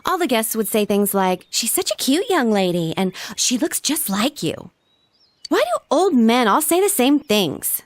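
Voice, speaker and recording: High-pitched, pampered teenage girl. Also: sing-song, measured pace, no room sound. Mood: exasperated. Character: pampered, blunt, cute teenage girl, demanding and playful with her boyfriend. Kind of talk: video game dialogue acting.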